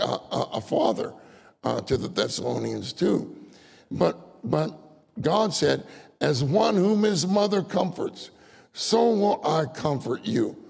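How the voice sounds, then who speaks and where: A man's voice: fairly high pitch, gravelly, darkish tone, husky. An older man preaching a folksy sermon, telling stories, acting out voices and laughing often. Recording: long hall reverb.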